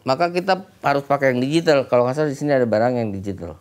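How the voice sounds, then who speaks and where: A man's voice: mid-range voice, rapid-fire. A man teaching audio basics in a casual, joking way, repeating key words for emphasis. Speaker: guest audio expert and teacher. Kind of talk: casual educational talk with jokes.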